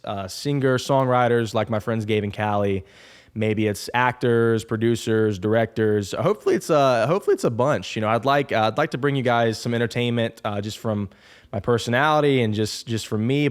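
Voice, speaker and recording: Young male podcast host, twenties, chatty and rambling, mid-pitched voice. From Alabama. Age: 20s. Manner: rambling, chatty, upbeat with frequent restarts. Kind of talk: casual solo podcast intro.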